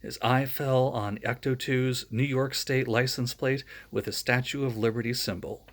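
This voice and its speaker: A man's voice: medium pitch, fairly even intonation, moderate pace. A man reading a children's storybook aloud, breaking off for friendly, joking asides to young listeners. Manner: friendly, chatty asides between read passages.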